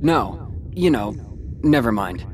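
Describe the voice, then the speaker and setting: A man playing an earnest, determined teenage boy hero in an animated game, serious and a little brooding. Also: mid-range voice, slow, a little hiss or hum. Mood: guarded, serious.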